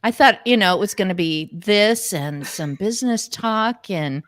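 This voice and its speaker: A woman in her sixties from Oregon, hosting a relaxed panel chat and asking her guests curious questions. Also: medium pitch, unhurried, no echo. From Oregon, United States. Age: 60s. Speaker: podcast host.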